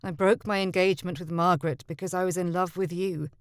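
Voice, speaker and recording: A woman voicing a young gentleman suitor in an audiobook, speaking calmly and softly. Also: lowish voice, fast talker, no echo, clean studio sound, natural voice. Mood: tender. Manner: calm, even, soft-spoken. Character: earnest young gentleman suitor, calm and tender. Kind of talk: audiobook character voice.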